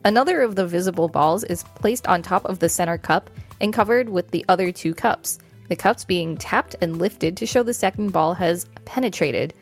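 A woman's voice: lowish voice, moderate pace, hardly any pauses, faint music behind. A female podcast co-host reading an encyclopedia article aloud in a casual, informal setting.

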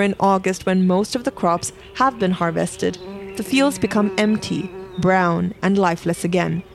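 Low-voiced woman narrating a documentary. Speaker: narrator of a reportage.

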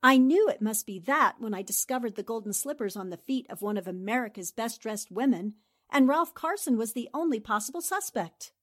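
Actress as a high-voiced, shrewd lady reporter. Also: expressive, a little fast. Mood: confident, triumphant. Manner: assertive, theatrical, explanatory. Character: shrewd, confident female newspaper reporter turned amateur sleuth. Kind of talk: 1940s radio drama acting.

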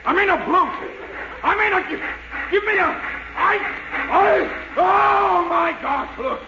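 An actor playing a flustered, excitable American traveler in a 1940s radio sitcom. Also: male voice, very high voice, unhurried, vocal fry, exaggerated voice. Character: flustered, excitable loser rushing for a train. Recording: muffled, echoey, noisy old radio recording.

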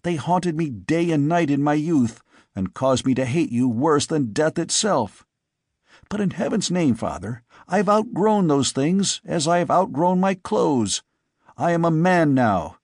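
A man's voice: on the high side, expressive, unhurried, a little mellow, no room sound, studio quality, voice pushed up. A man voicing an earnest, agitated young man who pleads insistently with his father. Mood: distressed.

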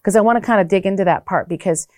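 A woman's voice: medium pitch, racing, darkish tone, dry recording. Chatty woman interviewer, dry sound. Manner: chatty, affirming, frank. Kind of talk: conversational interview.

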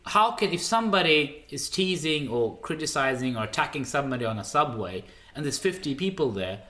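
A man in his twenties who grew up in Sweden, telling stories in casual chat with animated, mock-indignant asides. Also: on the high side, fairly quick. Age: 20s. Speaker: comedian co-host. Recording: roomy echo.

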